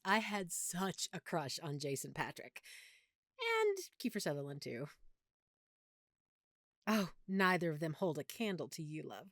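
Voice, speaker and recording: A woman playing an affectionate, nerdy, opinionated girlfriend in an intimate audio roleplay, talking in a playful, expressive, slightly fussy way. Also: fairly low pitch, dry recording, clean studio sound. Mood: teasing, fond. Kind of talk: audio roleplay, intimate one-sided conversation.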